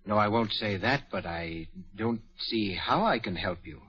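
A discreet, proper valet in an old radio drama, speaking courteously with a very husky, lively voice. The broadcast sounds dull and lo-fi. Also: male voice, on the low side, a little slow, full character voice. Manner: courteous, formal. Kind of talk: 1940s radio acting.

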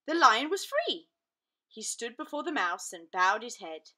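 Animated, high-voiced woman storyteller. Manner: dramatic, deliberate storytelling. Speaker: storybook narrator. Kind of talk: expressive children's read-aloud.

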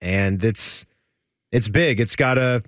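A man's voice: mid-range voice, slow, no echo, studio quality. A man hosting a post-game college football radio show, rattling off scores and joking with his co-host. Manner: lively, rattles off scores and jokes.

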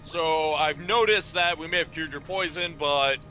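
A man's voice: a little high, unhurried, pushed and bright, slight background noise. A man chatting casually with friends as they play through a video game. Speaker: gamer voicing parts in a playthrough. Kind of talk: casual group banter. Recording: background game music.

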